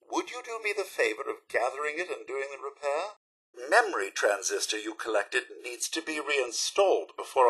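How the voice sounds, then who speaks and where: A man playing a polite, fussy robot butler in a video game, with a British accent, a raspy voice and prim, theatrical, upbeat manners. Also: fairly high pitch, sharp tone, exaggerated voice, low-quality recording. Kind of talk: video game character acting.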